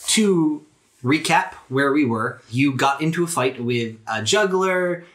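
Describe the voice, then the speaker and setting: A man's voice: a little high, expressive, unhurried, a bit bright. A man acting as game master, narrating scenes of a tabletop role-playing game and chatting casually with players. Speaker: game master and podcast host.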